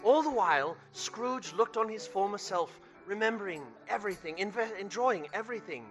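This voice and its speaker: Man as a bright, high-voiced storyteller. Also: expressive, normal speed, soft background music. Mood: warm storytelling. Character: earnest, animated storyteller narrator of Christmas tale. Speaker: narrator. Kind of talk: storybook narration in a radio play. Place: theater stage.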